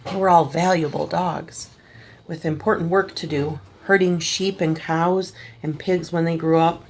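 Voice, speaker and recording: A woman reading a children's picture book aloud at a church service, gently and slowly, with small restarts. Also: on the low side, steady tone, slight background noise. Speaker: story reader at a church service.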